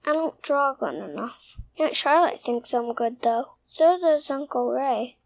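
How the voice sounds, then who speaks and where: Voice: sits high, animated, measured pace, creaky, dry recording, exaggerated voice, rough recording. A young performer playing a shy, anxious six-year-old boy in a radio soap, polite, hesitant and earnest. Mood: earnest, unsure.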